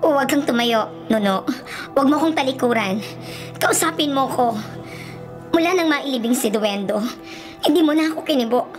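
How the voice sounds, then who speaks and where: Sorrowful dwarf spirit wife, high voice, pleading and emotional, radio drama, music underneath. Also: female voice, animated. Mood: pleading, grieving. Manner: slow, pleading, emotional delivery. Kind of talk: Filipino radio drama acting.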